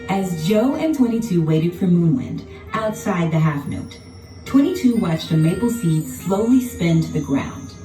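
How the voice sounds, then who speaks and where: A woman narrating a children's storybook in an expressive, dramatic scripted style. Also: lowish voice, a little slow. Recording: roomy, distant sound with music behind.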